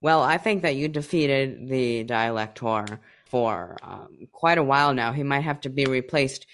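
A man's voice: medium pitch, a little slow, a bit bright. A man hosting a casual interview in a playful, joking way. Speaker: interview host.

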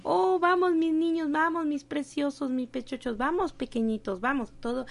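An older woman from Mexico with a Mexican accent, chatting warmly in a group, full of affectionate words and quick agreements. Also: high voice, fairly even intonation, vocal fry. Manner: warm, affectionate, many short agreements. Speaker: panelist. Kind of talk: casual panel chat.

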